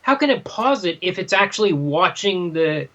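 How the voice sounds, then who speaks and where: A man's voice: sits high, big pitch swings, normal speed, bright, forceful tone, dull audio. A man co-hosting a casual discussion podcast, thinking aloud in broken, questioning phrases. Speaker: podcast co-host. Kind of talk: casual discussion of a graphic novel.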